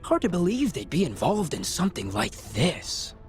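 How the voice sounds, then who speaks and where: A man's voice: fairly high pitch, huge pitch swings, moderate pace, faint music behind. A male actor playing a doubtful townsperson chatting as an onlooker.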